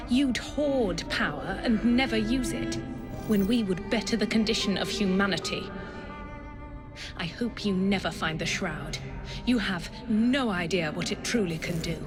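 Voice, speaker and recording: An actress playing a proud, disdainful Victorian villainess in a video game, deliberate and coldly defiant. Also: female voice, mid-range voice. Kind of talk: dramatic game cutscene acting.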